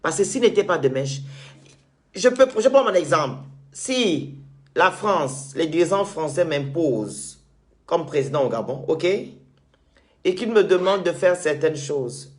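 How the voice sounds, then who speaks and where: A man's voice: a little high, expressive, a little slow. A man hosting a call-in debate, talking in an insistent way and repeating words as he makes his points. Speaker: show host.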